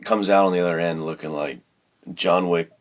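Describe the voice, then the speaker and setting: A man's voice: low voice, steady tone, moderate pace. A man co-hosting a podcast in casual, enthusiastic chat, using slang and an encouraging manner. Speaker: podcast host.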